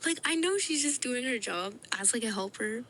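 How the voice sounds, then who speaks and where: A young adult woman telling stories to camera in a casual, animated way, with frequent laughs and fillers. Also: on the high side, moderate pace, a little hiss or hum. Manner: animated and giggly, with many fillers. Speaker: vlogger. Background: background music.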